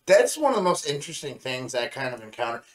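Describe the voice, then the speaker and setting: A man's voice: medium pitch, big pitch swings, fast talker, a bit bright. A man co-hosting a review show, talking casually with blunt opinions, recorded in a roomy, echoey space. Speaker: podcast co-host reviewing anime.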